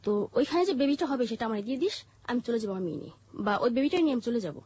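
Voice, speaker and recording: A woman with a Bangladeshi accent telling her life story in an interview, haltingly, with pauses and repeated phrases. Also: on the high side, lively intonation, a little mellow, breathy edge, dry recording. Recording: muffled, dull lo-fi audio.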